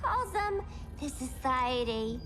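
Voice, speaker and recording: Wisecracking ex-villainess: very high, swooping New York voice, slangy game cutscene read over loud music. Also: female voice, very animated, slow, heavily acted. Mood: playful, cheeky. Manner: playful slang, teasing nicknames. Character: bubbly, wisecracking female ex-villain with a doctorate. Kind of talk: animated video game cutscene acting.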